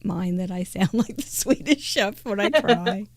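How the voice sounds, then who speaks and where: A woman's voice: mid-range voice, lively intonation, unhurried. A woman hosting a live crafting show, chatting playfully and laughing often. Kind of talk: casual live-stream chat.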